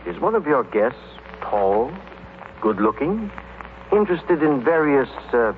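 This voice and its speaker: An actor playing a polite, hesitant attorney, courteous and tentative, in an old radio comedy. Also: male voice, medium pitch, sing-song, measured pace. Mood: tactful. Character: polite, hesitant attorney seeking his cousin. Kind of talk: 1930s-40s radio acting. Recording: tinny, noisy old radio recording.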